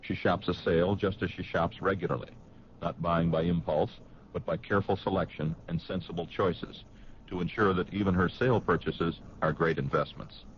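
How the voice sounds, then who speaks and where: Low-voiced male radio ad announcer. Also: fairly even intonation, normal speed. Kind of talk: scripted radio advertisement read. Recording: muffled, noisy old radio broadcast recording.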